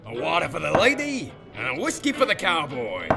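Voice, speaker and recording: Teasing saloon bartender: highish voice, hugely animated, audio drama, prominent music behind. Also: male voice, a little fast, creaky, exaggerated voice. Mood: cheeky. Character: cheeky, gossipy male bartender in a space saloon.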